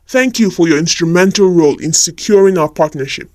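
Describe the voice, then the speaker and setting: Woman doing a smooth rich young ceo guy in a love story, lowish voice, very polite. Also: fairly quick, darkish tone, no echo, studio quality, close to an everyday voice. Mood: courteous, charmed. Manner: smooth, courteous, formal. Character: Frank, suave confident wealthy young male CEO in his twenties.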